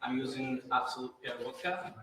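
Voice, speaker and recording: A man's voice: medium pitch, normal speed, fairly bright tone. A bartender from Latvia, a man explaining his cocktail step by step with hesitant fillers. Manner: explains steps carefully, hesitant fillers, slightly non-native phrasing. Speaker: bartender competitor. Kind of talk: presenting while making a cocktail. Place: large indoor event venue. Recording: very echoey hall, distant mic, noticeable noise.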